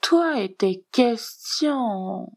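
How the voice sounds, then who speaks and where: A woman reading the part of a sly, coy, politely sardonic scheming man in a story, measured and dryly teasing. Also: a little high, lively intonation, a little mellow, voice pushed up, exaggerated voice.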